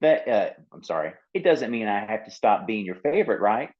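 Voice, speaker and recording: A man's voice: lowish voice, sing-song, brisk, muffled recording. A man voicing a defensive, sheepish seal who feels misunderstood, in a picture book read-aloud. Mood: apologetic.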